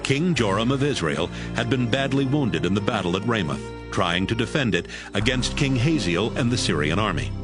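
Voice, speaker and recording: A man's voice: fairly low pitch, expressive, normal speed, few pauses. A man reading scripted narration for a dramatized audio Bible. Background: music.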